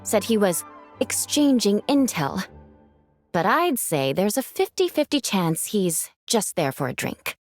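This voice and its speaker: A woman's voice: medium pitch, very animated, measured pace, no echo, soft background music. A woman voicing a wry adult knight with a teasing way of talking.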